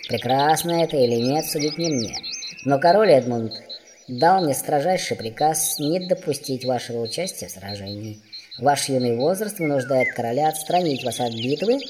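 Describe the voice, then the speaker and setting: A storybook dwarf guardian, as a male narrator plays him, strong and stern. He speaks in formal, measured phrases and does not budge. His pitch is middling, with faint noise and birdsong behind. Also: animated, in a large hall, lightly acted. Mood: stern, firm.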